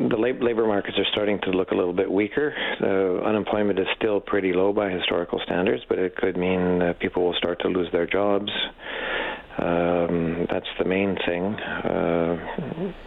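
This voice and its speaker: Male expert guest for a radio interview: low-pitched, gravelly, hesitant, thinking aloud in stammered phrases. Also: steady tone, narrow, tinny audio. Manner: hesitant, halting, frequent stammered repeats. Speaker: economics professor, expert guest. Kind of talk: unscripted radio interview answers.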